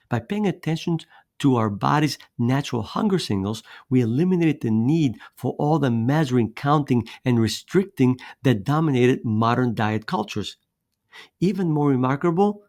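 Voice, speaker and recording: A man's voice: mid-range voice, vocal fry, a little mellow. A man from Greece giving an earnest, persuasive presentation as a fitness coach, with the odd repeated word and filler. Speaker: fitness coach presenting his weight loss method. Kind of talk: scripted motivational presentation.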